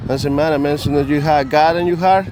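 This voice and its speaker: A man's voice: on the high side, fairly even intonation, fast talker, a crowd in the background, low-quality recording. A man from Guatemala stopped on the street, earnest and preachy, peppering his talk with "man". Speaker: passerby interviewee. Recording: outdoor street noise with traffic.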